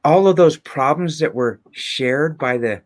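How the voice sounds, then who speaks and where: A man's voice: on the high side, unhurried. A man speaking reflectively and conversationally, with earnest emphasis and rhetorical questions, as a guest on a livestream.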